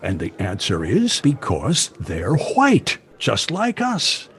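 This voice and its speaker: A smug colonial governor, animated. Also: male voice, mid-range voice, takes it slowly, exaggerated voice. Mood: smug, gloating. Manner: pompous, emphatic, explanatory. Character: smug, gloating male colonial governor of Virginia. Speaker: voice actor in an audio play. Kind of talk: theatrical audio drama dialogue.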